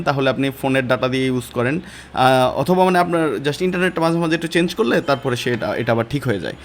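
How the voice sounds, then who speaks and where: A man with a Bangladeshi accent teaching a step-by-step software lesson in informal, colloquial speech. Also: fairly high pitch, a little hiss or hum. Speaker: online course instructor.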